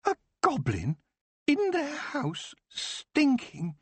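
A man's voice: very high voice, huge pitch swings, drawn-out words, dry recording, voice pushed up, exaggerated voice. A man voicing a haughty, cold young female elf in a storybook reading. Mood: disdainful.

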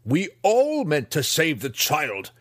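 A man reading an audiobook, voicing a harsh, furious male dark elf weapons master who snarls and shouts his words out slowly. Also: mid-range voice, wildly swooping pitch, slightly rough, pitched above the performer's own voice, full character voice. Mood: raging. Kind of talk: audiobook character voice.

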